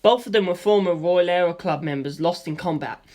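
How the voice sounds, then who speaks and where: A woman narrating a first-person story in a steady, matter-of-fact way. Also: fairly low pitch, fairly quick.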